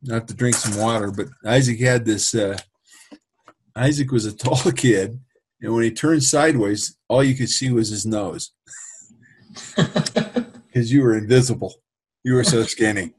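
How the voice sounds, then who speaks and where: A middle-aged man with a raspy voice who coaches quarterbacks, interviewing a former player in a casual, teasing way. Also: mid-range voice, unhurried, long pauses. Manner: teasing, chuckling between lines. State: raspy voice from playing golf.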